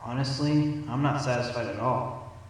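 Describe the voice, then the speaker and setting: A man's voice: medium pitch, fairly even intonation, moderate pace, very noisy, natural voice. A man playing a confident, combative young defense lawyer in an audio drama, speaking with emphatic courtroom theatrics. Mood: determined. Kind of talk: video game style audio drama acting. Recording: roomy amateur recording under loud music.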